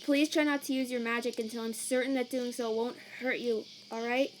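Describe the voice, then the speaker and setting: A woman's voice: fairly high pitch, steady tone, fairly quick, slight background noise, natural voice. A woman voicing an anxious, nerdy female monster scientist in a comic dub, hesitant and earnest. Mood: worried. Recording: cricket night ambience behind.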